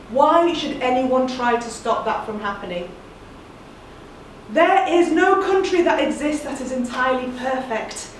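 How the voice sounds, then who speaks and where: A woman, giving an earnest, measured spoken-word monologue. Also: high voice, slight background noise. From England. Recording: very echoey room, distant mic.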